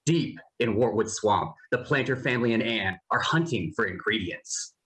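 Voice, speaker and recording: A narrator reading scripted stage directions for an animated cartoon table read. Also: medium pitch, normal speed.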